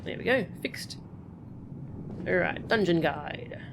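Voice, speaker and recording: A woman streaming a game, with a slightly low voice and a casual, playful delivery. She chats and mutters as she plays, over faint background noise. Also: expressive, slow pace, vocal fry. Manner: chatty, playful, mutters to self and chat. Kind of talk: casual live gameplay commentary, reading out game dialogue.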